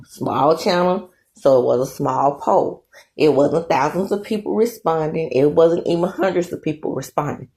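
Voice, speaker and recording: Woman host, casual opinion commentary, slightly low pitch, emphatic and repetitive. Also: normal speed, darkish tone. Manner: chatty, emphatic, repeats "okay?" often.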